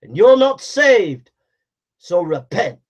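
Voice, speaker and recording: A man delivering a fiery, exhorting sermon, hammering phrases over and over and mockingly imitating flattering preachers. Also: high-pitched, takes it slowly.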